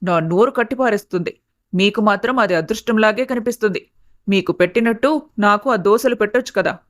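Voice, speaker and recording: A woman's voice: medium pitch, fairly quick, no echo, studio quality, natural voice. Woman acting a whiny, sulky mother-in-law. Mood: indignant. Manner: whiny, complaining. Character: grumbling, sulky middle-aged Telugu mother-in-law. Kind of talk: animated family-story voice acting.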